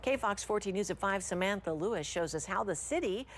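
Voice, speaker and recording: A woman's voice: medium pitch, animated, fairly quick. A woman with an American accent reading scripted television news as an anchor.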